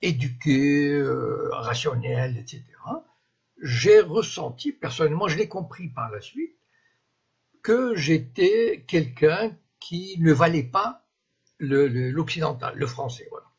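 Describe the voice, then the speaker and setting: Older man, 70s, from Morocco, native Moroccan Arabic speaker; husky, slightly high voice; unscripted, restarting talk; muffled stream audio. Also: expressive. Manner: halting, searching for words, frequent restarts. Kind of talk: unscripted live-stream monologue. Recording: dull lo-fi live-stream audio.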